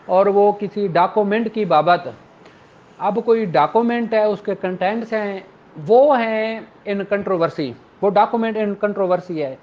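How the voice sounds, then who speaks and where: Dull lo-fi recording of a Pakistani law lecturer. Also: mid-range voice. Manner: Urdu speech mixed with English legal terms. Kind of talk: informal explanatory lecture with examples. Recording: dull, lo-fi audio.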